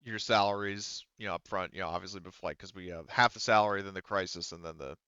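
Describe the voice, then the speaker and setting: Man, game master, low smooth voice, halting casual delivery, dull recording. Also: steady tone, bright, forceful tone, no room sound. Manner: hedging, halting, thinking aloud. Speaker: game master of a tabletop roleplaying game. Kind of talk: casual, improvised game narration and rules talk.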